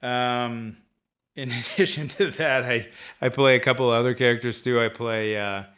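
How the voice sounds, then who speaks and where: A man's voice: medium pitch, steady tone, normal speed, a bit bright. A man who works as a voice actor, giving casual, hesitant interview answers.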